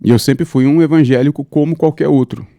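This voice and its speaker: A man in his thirties from São Paulo state, a pastor telling his life story fluently in a relaxed interview. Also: a little high, a little fast, no echo. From São Paulo state, Brazil. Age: 30s. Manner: steady, fluent storytelling with frequent "né". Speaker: pastor, guest. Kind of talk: conversational interview, personal account.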